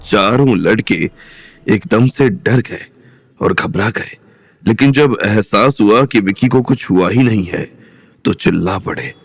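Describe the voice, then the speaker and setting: A man narrating a romantic crime audio drama in a measured storytelling manner. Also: on the low side, creaky, no echo.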